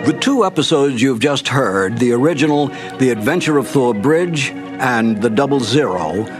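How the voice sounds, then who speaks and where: A man's voice: mid-range voice, expressive, normal speed. A man announcing scripted programme credits in a narration style. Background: music.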